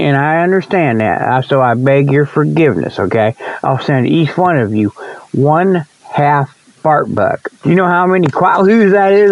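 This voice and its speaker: A high-pitched man delivers a rambling comedic radio monologue. He talks in a folksy, repetitive manner, as host of his own segment. The sound is dull and lo-fi. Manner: folksy, repetitive, frequent okay and you know. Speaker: host of a comic report segment.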